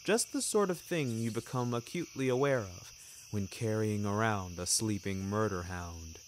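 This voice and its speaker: A man's voice: fairly low pitch, expressive, a little hiss or hum. A man narrating scripted fiction in a wordy, wry and theatrical way, taking his time over phrases. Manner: wordy, wry, deliberate storytelling. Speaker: narrator of an audio fiction series. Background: music.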